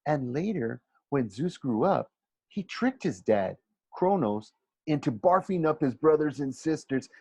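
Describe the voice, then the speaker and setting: A man's voice: on the high side, very animated, measured pace, darkish tone, husky, no room sound, voice pushed up, heavily acted. Man as an earnest, hesitant schoolboy. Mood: unsure, explaining. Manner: hesitant, questioning. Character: earnest, unsure schoolboy around twelve, quick-tempered. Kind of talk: storybook character reading.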